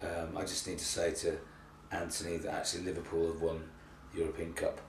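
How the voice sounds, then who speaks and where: A tired man with a cold and a slightly croaky voice, chatting hesitantly and self-deprecatingly in an unscripted video blog. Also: low voice, monotone, fairly quick. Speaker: theatre artistic director giving a monthly video update. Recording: echoey, distant mic, faint noise.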